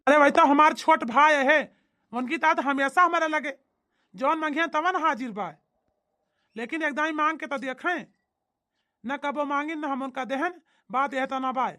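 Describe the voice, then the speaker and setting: A man's voice: very high voice, moderate pace, long pauses, bright, forceful tone. A man voicing an irritable, grumbling elder brother from a village comedy cartoon, with an emphatic delivery and an Awadhi accent. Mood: explaining, aggrieved.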